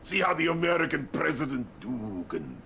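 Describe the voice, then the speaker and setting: A boisterous Soviet leader in a game cutscene. His Russian-accented voice is rather high and gravelly and swings widely, playful one moment and menacing the next. There is faint noise behind it. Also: big pitch swings, normal speed, bright, forceful tone, heavily acted. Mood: commanding. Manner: theatrical, grandiose, playful. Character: boisterous, scheming Soviet premier, jovial and menacing. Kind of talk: video game cutscene acting.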